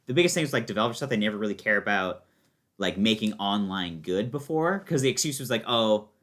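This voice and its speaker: A man's voice: on the low side, lively intonation, fast, fairly bright tone. A young American man chatting casually as a podcast guest, explaining things in an informal, rambling way full of fillers. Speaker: podcast guest, fighting game player.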